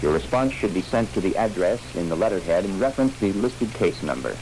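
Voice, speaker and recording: A low-pitched man reads as an announcer of official alerts. His delivery is formal, solemn and measured, like a scripted bulletin. A faint hum runs behind the voice. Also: expressive. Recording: voice recording with clear humming noise.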